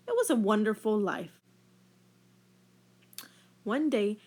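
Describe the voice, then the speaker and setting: A woman teacher reading a children's picture book aloud in an expressive storytelling manner. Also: high voice.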